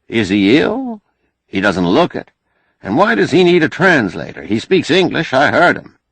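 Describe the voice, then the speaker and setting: A man voicing a folksy, pushy, glad-handing senator who preaches, loud and emphatic, for an audiobook. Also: medium pitch, wildly swooping pitch, normal speed, vocal fry, breathy edge, dry recording, voice pushed up, heavily acted. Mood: perturbed. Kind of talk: audiobook character acting.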